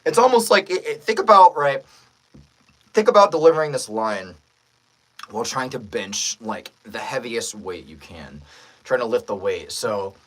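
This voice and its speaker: Man, casual livestream chat, lively swings in pitch, slightly rough voice, friendly, thinking aloud. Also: low-quality recording. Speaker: voice actor hosting a livestream.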